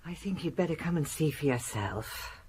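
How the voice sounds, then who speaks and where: A woman's voice: low-pitched, expressive, moderate pace, a little mellow, slightly rough, heavily acted. A woman acting in a radio drama as a fond, deferential housekeeper at a country house. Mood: worried.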